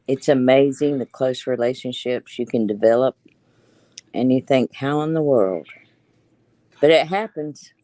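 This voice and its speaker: A woman's voice: very deep voice, a little slow, pauses often, dark tone, dry recording. Woman chatting in a dry room. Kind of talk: casual faith chat on a livestream.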